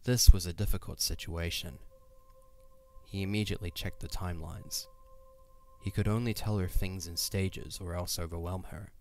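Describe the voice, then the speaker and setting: Low-voiced male narrator over music. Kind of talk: scripted dramatic fantasy narration. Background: background music.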